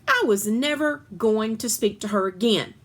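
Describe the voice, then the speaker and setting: A woman reads a first-person children's story aloud in an expressive narrating style. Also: a little high, normal speed. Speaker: story reader.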